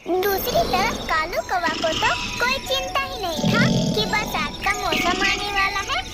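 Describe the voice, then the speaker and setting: A woman's voice: very high-pitched, animated, unhurried, over loud music. A woman storyteller reading a scripted narration for a children's cartoon story. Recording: very noisy track.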